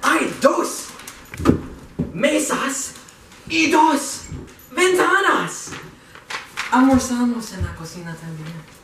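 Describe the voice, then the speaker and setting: Woman, slow halting skit lines, swingy pitch, far from mic w/ bit of noise. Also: animated. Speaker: student performer. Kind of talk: amateur scripted skit for a language class. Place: inside a family house. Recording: echoey room, distant mic, faint noise.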